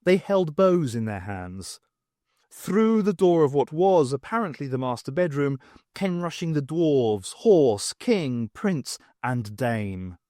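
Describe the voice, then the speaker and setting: A man's voice: mid-range voice, sing-song, slow pace, mellow, dry recording, studio quality. A man reading a scripted story aloud as an audiobook narrator. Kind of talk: scripted narration of a fan audiobook, acting out dialogue.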